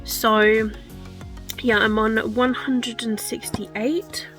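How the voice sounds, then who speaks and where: A woman's voice: on the high side, steady tone, very slow, fairly bright tone, faint music behind. A young woman chatting casually in a vlog, rambling with lots of ums and likes. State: wheezy, recovering from illness. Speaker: book vlogger.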